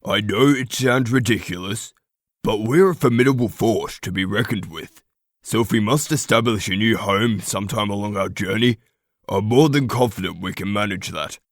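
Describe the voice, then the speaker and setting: A man acts out a determined, troubled leader of a pack in a fantasy audio drama. His voice is on the low side and recorded dry and close, with formal, earnest, commanding phrasing. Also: normal speed, dry recording, natural voice. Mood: resolute.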